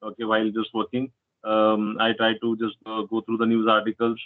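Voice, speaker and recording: A man's voice: on the low side, completely flat delivery, a little fast, no echo. A young man from India with an Indian English accent, Kannada his first language, chatting on a video call and hosting his channel, hesitant with many fillers. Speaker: YouTuber and host. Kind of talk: casual video call chat. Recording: tinny phone-like call audio.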